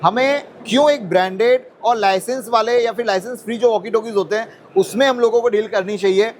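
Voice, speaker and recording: Guy with a high voice hosting a product interview, chatty explainer, mixes in english phrases. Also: brisk, a little hiss or hum. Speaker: host interviewing a guest.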